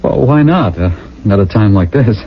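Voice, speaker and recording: A nervous, hesitant subject of a regimented future, with a rough, lowish voice and very animated pitch. He stammers his way through radio drama dialogue on a dull, aged recording. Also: moderate pace. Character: nervous, meek male citizen of a regimented future. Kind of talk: 1980 radio drama acting.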